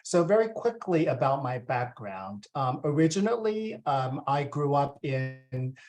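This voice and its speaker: A man in his forties from Taiwan, a university student affairs vice president, talking to families in a relaxed way with many ums and uhs. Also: medium pitch, measured pace. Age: 40s. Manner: conversational with frequent ums and uhs. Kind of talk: presenting to families at a welcome session.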